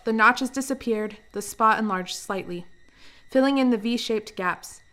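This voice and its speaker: Fairly high-pitched woman narrating radio drama. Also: unhurried, sharp tone.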